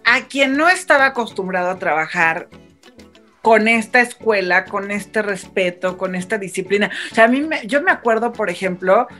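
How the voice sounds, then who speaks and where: A woman, a radio broadcaster telling stories in a casual panel chat, animated and full of quick restarts. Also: mid-range voice, soft background music.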